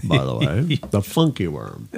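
A man's voice: deep voice, huge pitch swings, unhurried, vocal fry, a little mellow, slightly rough. A man co-hosting a casual music quiz and chat, joking and teasing as he talks. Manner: jokey, teasing, playful. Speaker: co-host running a music quiz.

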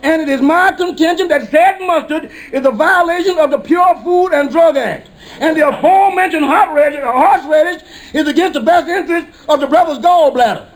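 An actor playing a pompous, blustering lawyer in 1950s radio comedy, declaiming complaints in mock-legal fashion. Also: male voice, very high voice, fairly quick, low-quality recording. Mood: pompous, indignant. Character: Calhoun, pompous blustering male lawyer lodge member.

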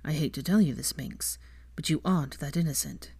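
A woman voicing a rough, teasing young man who talks in a low, husky, growling murmur. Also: a little fast, warm tone, no room sound. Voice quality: husky whisper.

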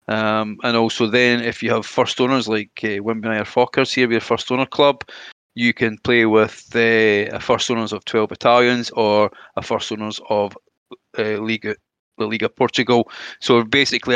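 A man's voice: mid-range voice, a bit bright, clean tone, no echo. A man co-hosting a gaming podcast, friendly and enthusiastic, with a noticeable accent he mentions himself. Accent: regional British or Irish. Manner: friendly, enthusiastic gamer talk. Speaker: podcast co-host. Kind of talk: casual podcast chat.

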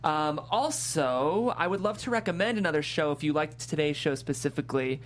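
A man's voice: a little high, expressive, a little fast, slight background noise. A man hosting a panel show in a casual, upbeat, informal way, with frequent filler "like". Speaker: host of a table-read vodcast.

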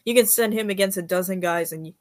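A woman's voice: medium pitch, fast. A woman chatting casually as a guest on a podcast, in an informal conversational way. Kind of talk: casual chat.

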